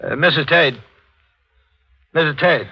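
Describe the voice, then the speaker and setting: An actor playing a probing, no-nonsense district attorney in a 1940s radio drama, with a brisk, pointed manner. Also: male voice, fairly high pitch, breathy edge, lo-fi sound. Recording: band-limited 1940s radio recording with music.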